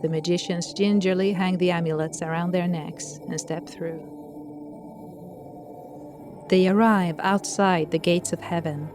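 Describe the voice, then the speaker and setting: Low-pitched woman narrating an audio drama. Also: warm tone.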